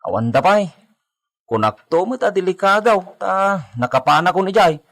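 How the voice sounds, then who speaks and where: High-voiced, worried henchman played by a man. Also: lively intonation, normal speed, fairly bright tone. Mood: worried, reluctant. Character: worried, cautious village henchman, reluctantly obedient. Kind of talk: Ilocano radio drama acting.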